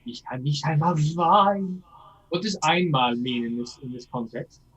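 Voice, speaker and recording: A man's voice: a little high, lively intonation, moderate pace, a bit bright, husky, muffled recording. A man coaching a singer in a masterclass, explaining things and checking in with "yeah?" as he demonstrates lines himself. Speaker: vocal coach.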